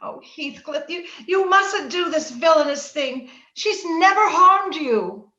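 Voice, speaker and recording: Woman doing a haughty jealous young wife, high voice, melodramatic old radio acting, echoey slightly muffled audio. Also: expressive, bright, forceful tone, full character voice. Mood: pleading, distraught. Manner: melodramatic, halting when upset. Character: anguished, jealous, haughty young married lady. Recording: roomy, slightly dull remote-call sound.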